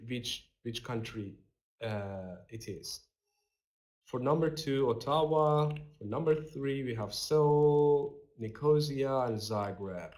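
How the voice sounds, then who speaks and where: A young man recording an online English lesson from a small room on his webcam, slowly explaining words and reading workbook dialogue aloud. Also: medium pitch. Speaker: English teacher.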